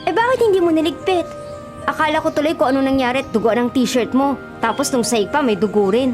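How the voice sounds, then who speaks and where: A woman's voice: high-pitched, expressive, fast, over music. An actress playing a chatty, caring, nagging young woman companion in a Filipino radio drama, with a Filipino accent. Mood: fussing. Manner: talkative, fussing.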